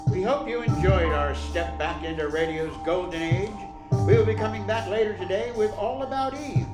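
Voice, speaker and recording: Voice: medium pitch, normal speed. An announcer delivering a scripted sign-off for a radio play. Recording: echoey, loud music underneath.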